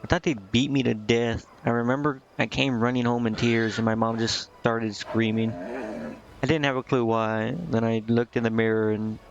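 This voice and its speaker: A man reads out a brash, shrewd tiefling shopkeeper from a fantasy game, one-eyed and worldly. The voice has a gravelly fry at a middling male pitch, delivered plainly with occasional stumbles. It sounds a little dull and lo-fi. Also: little pitch movement, normal speed, close to an everyday voice. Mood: matter-of-fact, reminiscing. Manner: plain reading of scripted dialogue with occasional stumbles.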